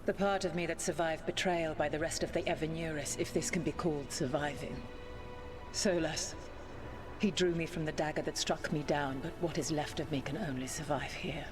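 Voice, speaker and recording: A woman's voice: low-pitched, steady tone, moderate pace, over music, lightly acted. A woman voicing a proud, bitter ancient goddess spirit in a video game, speaking formally and with command. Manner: haughty, formal, commanding speech. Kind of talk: dramatic video game acting.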